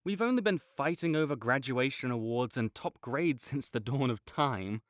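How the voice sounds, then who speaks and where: A man's voice: mid-range voice, animated, moderate pace, no room sound, lightly acted. Young male academic rival, played by a man, tsundere, teasing, defensive, dry close sound. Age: young adult. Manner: teasing and bossy, gets flustered and defensive. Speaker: voice actor in an audio roleplay. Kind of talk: audio roleplay acting.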